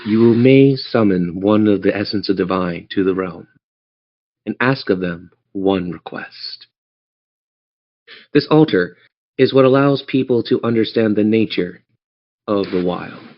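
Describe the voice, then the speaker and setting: Low-voiced man narrating, slightly dull audio. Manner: theatrical, measured storytelling. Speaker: game master. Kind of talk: narrating a tabletop game.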